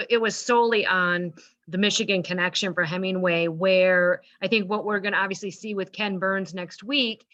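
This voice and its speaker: A woman hosts a virtual event in an informal, conversational way. Her voice is bright and crisp-edged, though the video-call sound is a little dulled. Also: mid-range voice. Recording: slightly dull video-call audio.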